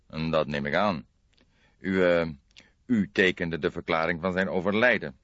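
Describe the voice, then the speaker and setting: A man's voice: deep voice, a bit bright, no room sound, muffled recording. A man voicing an old police detective in an audiobook, courteous and formal but insistent, with restrained impatience. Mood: probing. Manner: deliberate, formal, spelling things out carefully.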